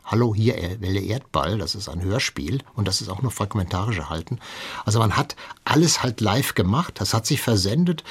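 A man's voice: on the low side, slightly rough. A man speaking as an expert guest in an interview, explaining history in an anecdotal way.

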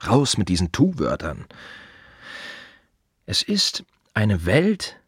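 Casting: a brooding, sardonic gravedigger, low voice with lively swings, clipped musing delivery, very dry close radio-play sound. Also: male voice, slightly rough, no room sound, heavily acted. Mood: ironic, emphatic. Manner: wry, clipped fragments with pointed repetitions. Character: wry, brooding male gravedigger musing on the dead. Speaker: actor in a radio play monologue. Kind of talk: radio drama inner monologue.

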